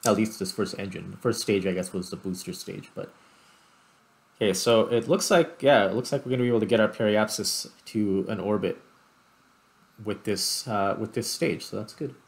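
Low-voiced man commentating on gameplay. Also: normal speed, vocal fry. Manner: thinks aloud while explaining. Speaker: gaming video host.

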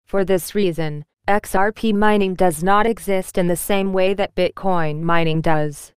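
Smooth, dry-voiced woman reading an article. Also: on the low side, steady tone, measured pace, no echo. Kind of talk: read-aloud article narration.

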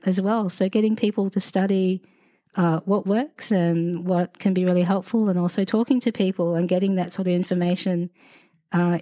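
An Australian woman in her forties talks through a relaxed interview in a mellow, low-ish voice, rambling and full of asides. The sound is dry and close, with no room echo. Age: 40s. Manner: chatty and rambling, many fillers and asides, laughs often. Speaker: podcast guest, sociologist. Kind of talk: casual conversational interview.

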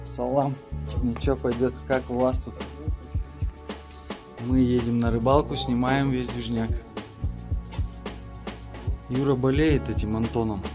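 A man's voice: mid-range voice, level tone, a little slow, pauses often, over music. A man from Kazakhstan filming a casual fishing vlog, joking and swearing freely as he talks. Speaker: fishing vlogger. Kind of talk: casual chat while filming.

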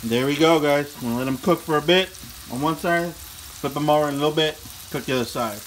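Casting: relaxed middle-aged guy, home cooking vlogger, chatty, phone-recorded in a kitchen with frying noise. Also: a little high, fast. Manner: laid-back, chatty, talks to viewers as "guys". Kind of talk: casual cooking vlog. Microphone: phone camera held while cooking. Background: frying sizzle and kitchen noise.

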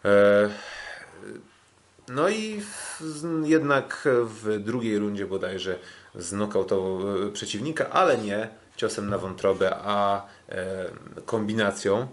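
Low-voiced, halting man recapping fights. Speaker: sports podcast host. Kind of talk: podcast commentary recapping kickboxing fights.

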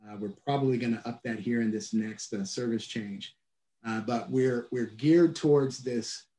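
A middle-aged man, a transit agency leader, answering questions on a video call in a measured way, restarting phrases and filling pauses with "uh". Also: medium pitch, dark tone. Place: office at home or work. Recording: echoey room on a video call.

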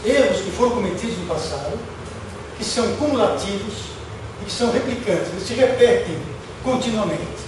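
A middle-aged Brazilian man giving a public lecture in a deliberate, emphatic way, posing rhetorical questions and listing points. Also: high-pitched. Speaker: lecturer, former UFO researcher. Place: auditorium stage, indoors. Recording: echoey hall from far off, noisy.

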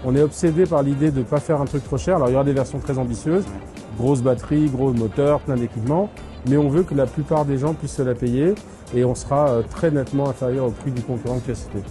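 A middle-aged man, a motorcycle company executive, answering interview questions with quick enthusiasm and many fillers. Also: medium pitch, dark tone. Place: dark exhibition stand, indoors. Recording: background music.

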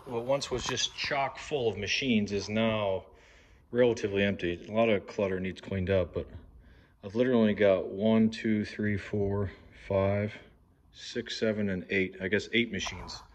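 A man's voice: on the low side, a little slow. Man in his thirties, casual vlogger, rambling walkthrough, filmed on a phone at arm's length in a big indoor warehouse. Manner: rambling and relaxed, with fillers and restarts. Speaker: gym owner vlogger. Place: large warehouse-style gym, indoors. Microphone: phone held at arm's length.

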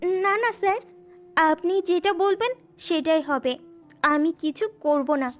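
A woman's voice: very high voice, slow. A woman playing a timid, frightened young woman in an audio drama, anxious and deferential. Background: music.

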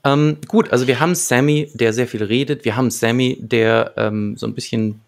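A man's voice: medium pitch, normal speed, vocal fry. A man in his thirties, a podcast co-host talking analytically and casually, with hesitant, reflective phrasing into a close microphone. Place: small book-lined home room, indoors, remote video call. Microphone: large desk microphone close up, with headphones.

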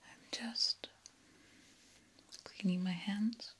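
A young woman whispering breathily in an ASMR roleplay as a genteel, sinister vampire countess, hushed and deliberate, with long pauses. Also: medium pitch, fairly even intonation. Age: young adult. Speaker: ASMR performer. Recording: clear background noise and ambience.

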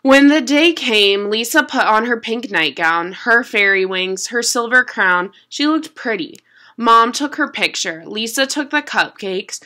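A young adult woman reading a children's picture book aloud at home in an expressive, deliberate storytelling manner. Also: on the high side. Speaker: storybook reader. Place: small room at home.

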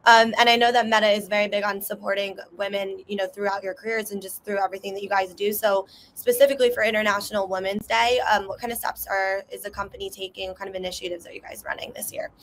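Young female interviewer, quick speech, faint background noise, video call. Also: mid-range voice, fairly even intonation, poor audio. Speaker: interviewer, news writer. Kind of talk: remote interview questions. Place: balcony, outdoors.

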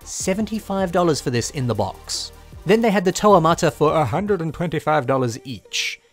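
Aussie dude chatting over his toy shopping vlog, bouncy pitch, faint music under it. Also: animated, moderate pace. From Australia. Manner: chatty, opinionated about prices. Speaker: toy collector vlogger.

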